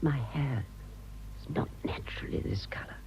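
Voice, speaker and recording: An actress playing a vain, self-pitying ageing romantic in a British radio drama, confiding dramatically and halting for effect. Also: female voice, a bass voice, a little mellow, whispered. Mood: confiding, pained. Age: older.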